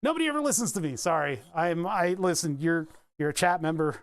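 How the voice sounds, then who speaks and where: A man's voice: fairly high pitch, expressive, a little fast, dry recording. A man chatting casually on a live stream as he builds electronics, rambling, answering chat and laughing often.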